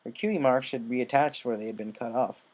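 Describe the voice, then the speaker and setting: A man narrates a written story as an audiobook. His voice sits at a middle pitch. The delivery is scripted reading. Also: fairly quick, darkish tone.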